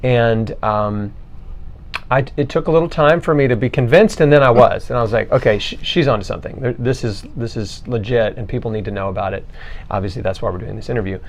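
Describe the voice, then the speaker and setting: Informal male interviewer with a medium-pitched voice. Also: brisk. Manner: informal, colloquial phrasing. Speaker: interviewer, host. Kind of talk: casual conversational interview.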